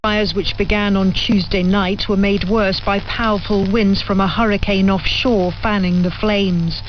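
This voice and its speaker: A woman correspondent reads a scripted news report at a medium pitch. The audio is muffled, with some faint noise, like a shortwave broadcast. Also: fairly even intonation, a little slow. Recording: muffled, lightly noisy shortwave radio relay.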